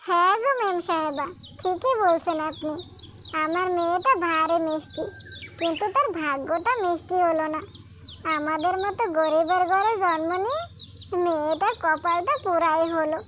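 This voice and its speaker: Long-suffering poor mother and servant, female actor, squeaky-high, humble cartoon voice, noisy birdsong backdrop. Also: normal speed. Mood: sorrowful. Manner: plaintive, deferential. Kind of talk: cartoon dialogue. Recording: noisy track, chirping birds behind.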